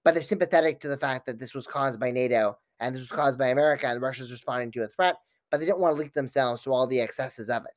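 A man's voice: medium pitch, no room sound. A man speaking as an expert guest in an interview, giving analytical, wordy answers with frequent false starts.

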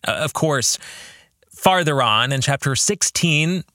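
Male podcast host and author, earnest and reflective, unhurried delivery, bright tone. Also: on the high side, expressive, no room sound, clean studio sound. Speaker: podcast host and author discussing his book. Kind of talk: reflective book commentary, addressing the listener directly.

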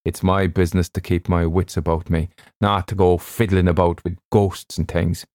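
A man's voice: low voice, flat delivery, moderate pace, darkish tone, no echo, studio quality, lightly acted. A man voicing a brusque, self-assured ship's surgeon in an audiobook, with clipped, emphatic, old-fashioned speech. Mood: blunt, wary.

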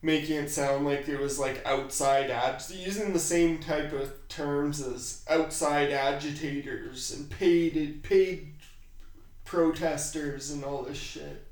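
Raspy man in a very echoey room. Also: fairly high pitch, fairly even intonation, slow. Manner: profane, irreverent. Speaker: podcast host. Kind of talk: casual chat.

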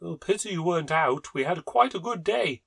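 A man's voice: fairly high pitch, expressive, normal speed, vocal fry, a bit bright, husky, voice pushed up, full character voice. A man voicing a chatty upper-class neighbour woman who talks in a breezy, gushing way.

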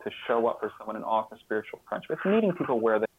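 A man's voice: lowish voice, animated, slightly rough, dry recording. Earnest man on a phone-like line. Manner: earnest, halting with repeated words as he searches for phrasing. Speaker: interview guest and author. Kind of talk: reflective interview talk with personal examples.

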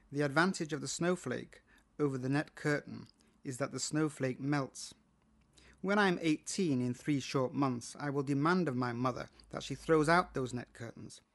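A man's voice: medium pitch, gravelly. A British man reading a first-person short story as its narrator. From Britain.

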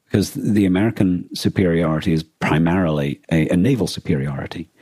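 A man co-hosting a podcast in reflective conversation, speaking earnestly in halting, emphatic phrases. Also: sits low, dark tone, husky, dry recording. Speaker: podcast co-host.

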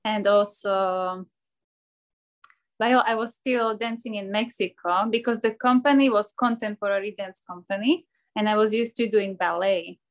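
A woman's voice: medium pitch, steady tone, normal speed. Cheerful woman dancer. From Slovakia. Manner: cheerful, laughs often, drops articles. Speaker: ballet dancer and personal trainer. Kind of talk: casual interview answers.

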